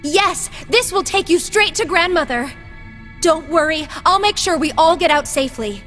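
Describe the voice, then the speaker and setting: A woman's voice: very high voice, lively intonation, normal speed, fairly bright tone. A woman playing a spirited, earnest young heroine in a dramatic fantasy video game. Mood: urgent, determined. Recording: long reverb, music behind.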